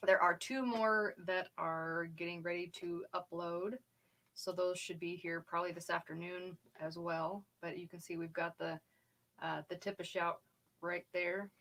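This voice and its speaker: Woman, low voice, easygoing, chatty, casual livestream talk. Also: little pitch movement, measured pace, fairly bright tone. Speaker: co-host.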